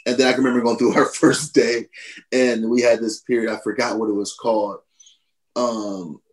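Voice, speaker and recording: A man in his thirties, a former college football player, telling stories on a podcast in a casual, animated way, laughing and jumping high in pitch when he quotes himself. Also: normal speed, rough recording. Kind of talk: casual storytelling.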